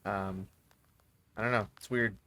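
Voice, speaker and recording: A man's voice: fairly low pitch, fairly even intonation, a little slow, sharp tone. A man who is not a native English speaker giving casual, rambling let's play commentary. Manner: rambling, mumbling asides with fillers. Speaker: gaming streamer.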